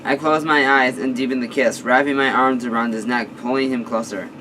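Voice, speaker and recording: A hoarse man reading a fan-fiction story aloud, stumbling and restarting phrases often. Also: mid-range voice, fairly even intonation, pushed and bright, distant mic, a little hiss or hum, poor audio. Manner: halting, frequent restarts. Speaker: story reader.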